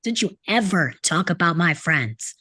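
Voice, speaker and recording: A woman reading the part of an angry, sarcastic teenage rebel boy from a rough home, blunt and sneering, in a script table read. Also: lowish voice, expressive, a little slow, bright, forceful tone, dry recording, clean studio sound, full character voice. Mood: scornful. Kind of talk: video-call table read of a film script.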